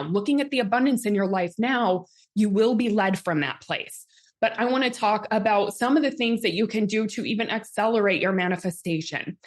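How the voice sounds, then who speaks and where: Upbeat coach lady, 30s, voice a bit worn from talking, kinda high. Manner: upbeat, reads out audience comments. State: slightly strained voice, healing. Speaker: host and manifestation coach. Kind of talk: live coaching session.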